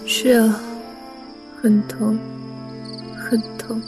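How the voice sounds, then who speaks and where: A woman playing a gentle, sorrowful storyteller telling a legend softly in a Chinese costume radio drama. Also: fairly high pitch, little pitch movement, extremely slow. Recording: distant voice, music behind.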